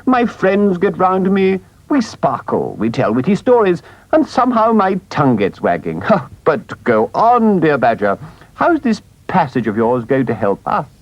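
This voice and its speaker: A man voicing a boastful, excitable male toad who chatters on and blusters. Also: a little high, measured pace, no echo, muffled recording, voice pushed up, heavily acted. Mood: chatty.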